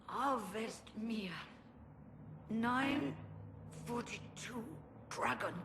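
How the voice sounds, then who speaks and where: An actress playing a weakened, desperate female mage in a video game, speaking in halting, strained phrases. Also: fairly high pitch, background noise. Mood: weak, stunned. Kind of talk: video game cutscene acting.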